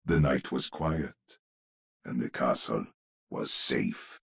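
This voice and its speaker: An actor playing a grave old storyteller, narrating a gothic horror tale for a video game in a husky, gravelly voice with theatrical, ominous phrasing. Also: male voice, a bass voice, slow pace, full character voice. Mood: solemn.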